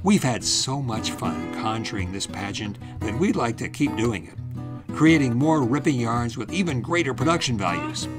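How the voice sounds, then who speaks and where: Actor as male host, scripted radio style, eager, medium pitch, faint guitar backing. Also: animated, moderate pace, close to an everyday voice. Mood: upbeat announcing. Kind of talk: scripted radio show hosting.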